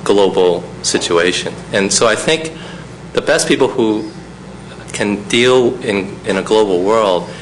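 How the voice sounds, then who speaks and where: A man's voice: on the low side, animated, measured pace, a little hiss or hum, poor audio. A man whose first language is Chinese, speaking reflectively as a panelist.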